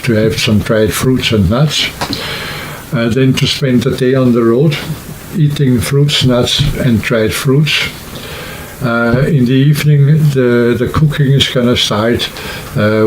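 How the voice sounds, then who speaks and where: A man talking casually and unscripted to camera, explaining things in a rambling, halting way like a vlogger. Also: mid-range voice, slight background noise, low-quality recording. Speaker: hiking vlogger and trip organizer.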